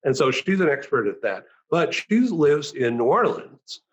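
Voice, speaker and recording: A man hosting a talk show over a video call, thanking guests and announcing upcoming shows, talking haltingly with many fillers. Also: on the high side, very animated, breathy edge, highs cut off.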